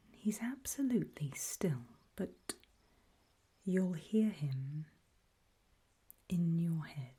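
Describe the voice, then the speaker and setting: A woman voicing a calm, ominous gatekeeper in a hushed, breathy ASMR roleplay, speaking mostly in deliberate whispers with pauses. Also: sits low, heavily acted. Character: calm, ominous female gatekeeper briefing travelers. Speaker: ASMR performer.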